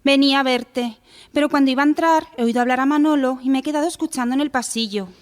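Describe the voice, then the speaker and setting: A woman's voice: high-pitched, quick pace, in a large hall, close to an everyday voice. An actress playing a repentant, downcast young woman of seventeen in a theatrical radio drama. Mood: contrite.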